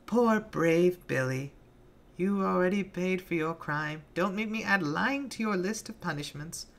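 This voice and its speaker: A man's voice: high-pitched, a little slow, pitched above the performer's own voice. A man voicing a cruel, deranged female monster in a horror story, with mocking, sing-song politeness. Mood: menacing, taunting.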